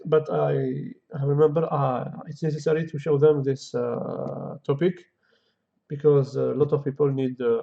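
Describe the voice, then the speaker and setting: Gravelly man teaching code. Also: on the high side. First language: Kabyle or Arabic. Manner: halting non-native English, thinking aloud with many fillers. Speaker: programming tutor. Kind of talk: casual screen-recorded coding tutorial. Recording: dull lo-fi home recording.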